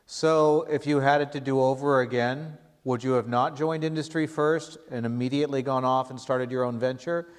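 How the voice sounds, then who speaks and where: A man moderating a class Q&A, asking questions briskly and joking with the guest. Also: medium pitch, steady tone, clear, resonant tone. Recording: long hall reverb.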